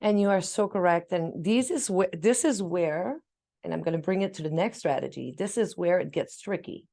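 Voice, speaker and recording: Expressive, talkative woman with a lowish voice. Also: normal speed, gravelly. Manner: animated storyteller, acts out quoted exchanges; talkative, emphatic, self-described loud speaker. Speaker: parent sharing parenting tips as co-host. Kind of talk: casual conversational talk.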